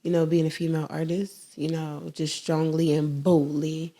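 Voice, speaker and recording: Young philly woman rapper, kinda low voice, bold and emphatic. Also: little pitch movement, moderate pace, warm tone. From Philadelphia, USA. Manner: bold, emphatic, faith-driven. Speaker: rapper guest. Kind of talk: casual interview chat.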